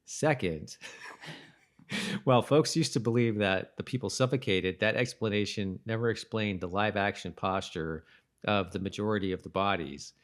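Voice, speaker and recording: A man with a fairly low voice, talking as a podcast co-host. His delivery is casual and conversational. He often halts, corrects himself and lets a sentence trail off. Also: moderate pace. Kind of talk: casual chat about a TV episode.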